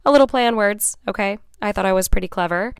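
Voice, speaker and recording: A woman hosting her own podcast in a casual, chatty way, hoarse and raspy from a cold, often checking in with "right?" and "okay?". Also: medium pitch, fast, no echo, studio quality. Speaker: podcast host. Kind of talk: casual solo podcast talk.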